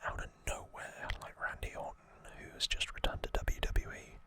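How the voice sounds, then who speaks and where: Whispered, bright ASMR host. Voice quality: breathy, unvoiced whisper. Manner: hesitant, halting phrasing with self-corrections. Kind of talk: whispered ASMR, rambling episode recap. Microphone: close ASMR microphone.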